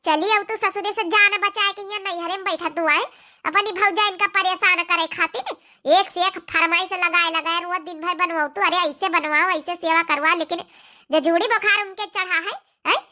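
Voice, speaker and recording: A woman's voice: extremely high pitch, fairly even intonation, normal speed, bright, forceful tone. A woman voicing a fiery, combative young village sister-in-law in an Awadhi comedy cartoon, scolding in an Awadhi accent. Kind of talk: Hindi-belt comedy cartoon dialogue.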